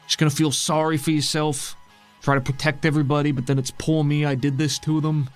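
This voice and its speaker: Casting: male game master as scornful, needling phantom in a game, pitched up from his own voice, faint music. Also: little pitch movement, fairly quick, close to an everyday voice. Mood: scornful, mocking. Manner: needling rhetorical questions. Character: mocking red-eyed phantom tormentor, scornful and needling.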